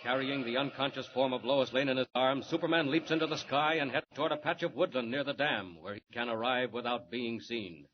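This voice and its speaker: A man's voice: mid-range voice, steady tone, fairly quick, few pauses. A man narrating a 1940s radio serial with urgent, dramatic delivery. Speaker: announcer and narrator. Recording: muffled old radio recording with hiss.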